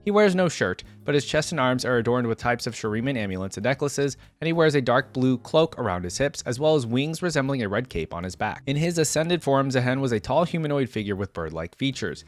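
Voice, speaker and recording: A man with a medium-pitched voice hosts a gaming reaction video. He moves between reading aloud and casual, appreciative commentary in an informal manner. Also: sing-song, a little fast, few pauses.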